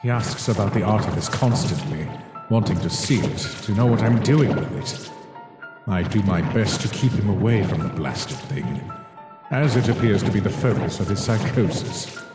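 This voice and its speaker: A man playing a cold, condescending monster scientist obsessed with his work, speaking formally and deliberately in a dramatic comic dub. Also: fairly low pitch, animated, close to an everyday voice. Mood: clinical, uneasy. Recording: echoey hall reverb, music behind.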